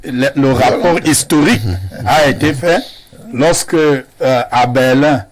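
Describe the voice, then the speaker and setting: A man from Cameroon, speaking as a guest on a televised panel debate in a measured, lecturing way. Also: mid-range voice, crowd noise.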